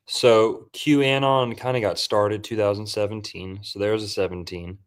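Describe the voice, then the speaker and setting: A man's voice: lowish voice, steady tone, unhurried, clean tone. A man hosting a podcast, casually breaking down a movie in a loose, rambling way with lots of fillers. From Florida. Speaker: podcast host.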